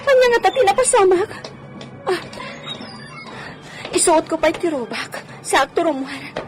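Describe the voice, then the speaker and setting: Music plays under a woman acting as an anxious, devoted wife with an Ilocano accent. Her voice is extremely high and pleading, full of exclamation, in the manner of radio comedy-drama. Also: sing-song, unhurried, heavily acted. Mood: alarmed, worried.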